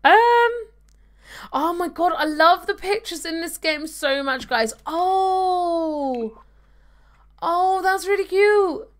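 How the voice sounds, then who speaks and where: A woman's voice: extremely high pitch, animated, slow pace. A young woman streaming a game from home, chatting casually and reading out viewer comments into a desk microphone. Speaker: gaming streamer. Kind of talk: casual live-stream chat while playing. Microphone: desk microphone on a webcam stream.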